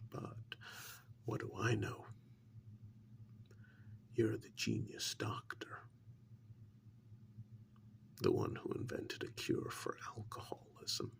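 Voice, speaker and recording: A man playing a sly, menacing interrogator in a soft-spoken ASMR role-play, half whispering with insinuating, drawn-out pauses and mock admiration. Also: lowish voice, heavily acted. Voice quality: breathy whisper mixed with gravelly creak. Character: sly, menacing male interrogator taunting a mad scientist.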